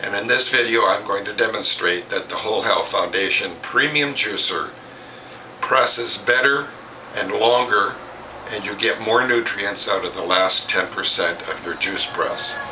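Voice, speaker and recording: A man's voice: fairly low pitch, measured pace, pushed and bright. Older man presenting, distant noisy recording. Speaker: presenter demonstrating a product. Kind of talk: plain storytelling and how-to demonstration. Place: home kitchen, indoors. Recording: noisy home-kitchen recording, distant mic.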